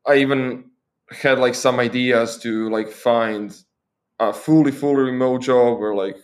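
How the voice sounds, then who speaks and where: A man's voice: mid-range voice, fairly even intonation, unhurried. Croatian-accented young man, casual interview. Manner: thoughtful, with frequent restarts and fillers. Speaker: guest.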